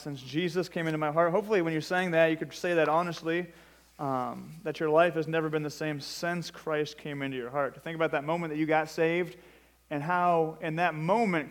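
A young man preaching an evening sermon as a fill-in pastor, in a conversational way with frequent fillers and joking asides. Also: on the high side. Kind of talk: sermon with storytelling.

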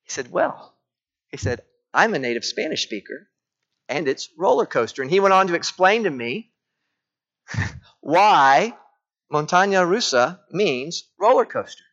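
A male pastor preaching in an easy, storytelling way, his voice sitting a little high for a man. He keeps asking his listeners rhetorical questions. The recording sounds dull and lo-fi. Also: a bit bright. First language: English. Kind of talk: sermon.

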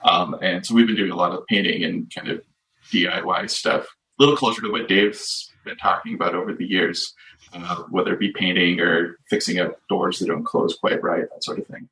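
A man's voice: deep voice, fairly even intonation, moderate pace, bright, forceful tone, low-quality recording. A man co-hosting a podcast in casual chat, rambling with many fillers and restarts. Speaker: podcast co-host.

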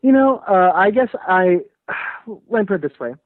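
Guy, writer and editor, wry and laid back, slightly high voice, thin phone-line sound. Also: moderate pace, no echo. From Puerto Rico. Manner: relaxed and wry, with small hesitations. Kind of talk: casual interview chat. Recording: dry, tinny phone-like line.

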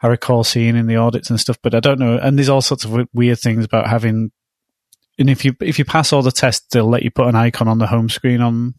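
A man's voice: medium pitch, little pitch movement, a little fast, darkish tone, dry recording, studio quality. A man with a cold who is losing his voice, co-hosting a podcast in a tentative, casual way, often ending on tag questions. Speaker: podcast co-host. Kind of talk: casual tech chat.

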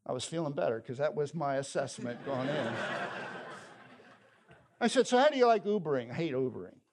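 A man's voice: medium pitch, animated, fairly quick, noisy background. A man preaching a sermon, telling a story and quoting scripture with emphasis. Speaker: pastor.

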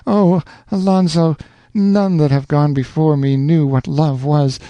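A lovestruck young woman pours out her devotion in a raised, dreamy voice, as a man puts her on. The tone stays dark and close, with a dry, unreverberant sound. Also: low voice, steady tone, unhurried, dry recording, dull audio, pitched above the performer's own voice. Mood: rapturous. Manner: gushing, breathless devotion. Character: adoring young woman in love.

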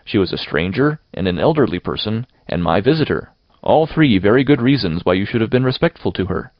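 A stern middle-aged woman from a storybook, played by a man, severe and scolding. The delivery is dry and creaky, with lively rises and falls in a slightly dull recording. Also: lowish voice, fairly quick, no echo. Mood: firm.

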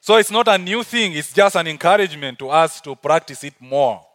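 A man's voice: a little high, expressive, brisk, a bit bright. A man with a South Sudanese accent preaching as a guest speaker in church. He talks earnestly and repeats key phrases for emphasis. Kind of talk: sermon.

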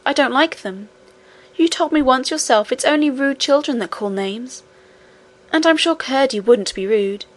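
A woman voicing an innocent, stubborn little princess in a storybook, speaking with earnest, childlike politeness. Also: sits high. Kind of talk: storybook character reading.

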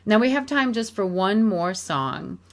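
A woman's voice: medium pitch, expressive, a little slow. A middle-aged woman, an author and musician, talking earnestly and heartfelt in a casual radio interview. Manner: earnest and heartfelt, with some restarts. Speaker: author and musician promoting her book.

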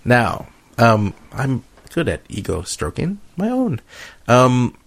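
A man's voice: medium pitch, huge pitch swings, takes it slowly, vocal fry. A man co-hosting a podcast in casual, unscripted chat, with a joking manner. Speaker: podcast co-host.

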